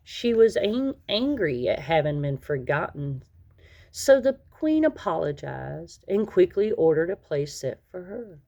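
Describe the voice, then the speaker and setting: Woman reading a fairy tale out loud for kids, lowish voice, expressive, stresses key words. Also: a little slow, gravelly. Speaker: storybook reader. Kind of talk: read-aloud children's story narration.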